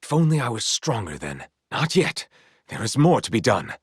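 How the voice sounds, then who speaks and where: A man's voice: medium pitch, very animated, moderate pace, husky, no echo, clean studio sound. A man playing a confident, proud naval commander in a video game, speaking in formal, heroic phrasing. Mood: cool, confident. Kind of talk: video game character battle lines.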